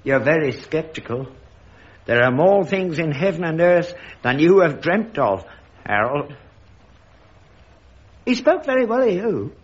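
An actor playing an earnest, credulous elderly London father in a sitcom, insistent and quick to defend himself. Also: fairly high pitch, big pitch swings. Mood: solemn, insistent. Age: older. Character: credulous, indignant elderly father, rag-and-bone household. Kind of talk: studio sitcom acting. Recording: dull lo-fi archive recording.

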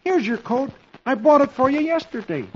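A man's voice: very high-pitched, animated, moderate pace, darkish tone, pitched above the performer's own voice. A man voicing a henpecked comic-strip husband who tries to sound stern, with blustering, emphatic delivery. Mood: apologetic. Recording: dull old radio recording, clear noise.

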